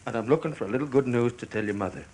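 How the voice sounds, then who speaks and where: An actor playing a desperate, blustering older father and fired salesman in a radio drama, gravelly and husky, hectoring, evasive and quick to interrupt. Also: mid-range voice, a little mellow, lightly acted. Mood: defiant, needy. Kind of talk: stage-style radio drama acting.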